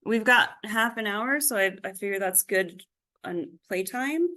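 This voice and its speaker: A woman teaching a software tutorial informally, thinking aloud as she works through each step. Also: mid-range voice, a little slow. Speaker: workshop instructor.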